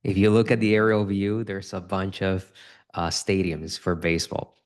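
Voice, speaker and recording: Guest: low-pitched man, earnest, stumbles over sentence starts. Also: level tone, normal speed. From Dominican Republic. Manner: earnest, repeats words when starting sentences. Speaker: guest, real estate investor. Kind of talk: casual interview conversation.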